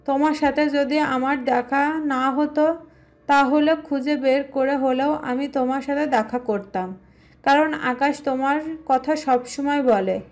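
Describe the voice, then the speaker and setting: A woman's voice: high-pitched, a little mellow. A woman playing a kind, bashful young doctor in a Bengali romantic audio story. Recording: music under the voice.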